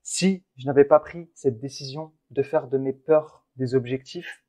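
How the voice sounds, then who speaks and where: A man's voice: medium pitch, measured pace, dark tone. A man co-hosting a casual motivational podcast, talking in an earnest, encouraging way with frequent restarts. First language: French. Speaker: podcast co-host.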